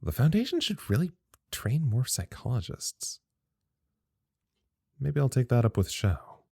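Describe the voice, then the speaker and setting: An actor playing a weary, wry, stubborn psychologist at a secret facility in an audio drama, musing with dry humor. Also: male voice, medium pitch, very animated, unhurried, creaky, darkish tone, slightly rough, no echo, heavily acted. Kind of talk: audio drama acting, reflective monologue.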